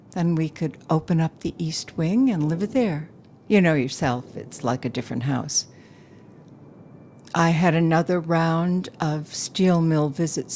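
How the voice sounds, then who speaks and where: A woman's voice: deep voice, a little mellow, a little hiss or hum. An actress playing a self-possessed, grieving businesswoman, telling her story reflectively in an intimate audio play monologue. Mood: somber, regretful.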